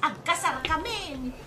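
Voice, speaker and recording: A woman's voice: very high-pitched, pushed and bright, exaggerated voice. A woman playing a coy, playful unmarried market vendor in an Ilocano radio comedy drama, with an Ilocano accent. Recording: music behind the voice.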